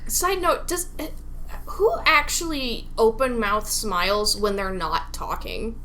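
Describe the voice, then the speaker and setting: A woman co-hosting a casual, comic game discussion, animated and playfully incredulous, landing her points with emphasis. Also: fairly high pitch, takes it slowly, slight background noise. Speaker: podcast co-host.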